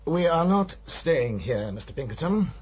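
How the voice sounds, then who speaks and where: An actor playing a polite police inspector in a radio drama, asking questions patiently with a husky voice. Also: male voice, a little high, very animated, moderate pace, darkish tone. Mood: patient, firm. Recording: dull, muffled radio recording.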